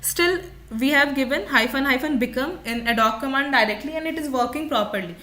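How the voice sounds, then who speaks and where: A woman gives a software tutorial in a fairly high, very bright voice. She explains each step of what is on screen and restates key points as an instructor would. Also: slight background noise.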